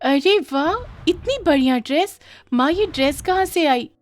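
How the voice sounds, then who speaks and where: A woman's voice: high voice, animated, measured pace, faint music behind, pitched above the performer's own voice. A woman voicing an eager young village girl in a cartoon moral story, pleading and exclaiming. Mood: surprised. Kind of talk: animated moral story acting.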